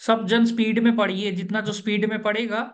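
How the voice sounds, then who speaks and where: A man working as an interview coach, running an online coaching session over a video call, brisk and instructive, repeating the key points. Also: high voice, little pitch movement.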